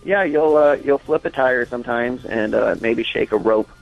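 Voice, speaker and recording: A man's voice: medium pitch, normal speed, creaky, muffled recording, faint music behind. A man giving a casual comic interview as an expert caller, matter-of-fact and opinionated.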